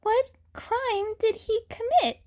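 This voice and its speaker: A woman performs an earnest young boy in a fan fiction audiobook. The voice sits very high and presses each argument with pedantic, emphatic precision. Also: fairly even intonation, slow pace, darkish tone. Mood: challenging. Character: young boy, earnest bookish defender reciting laws.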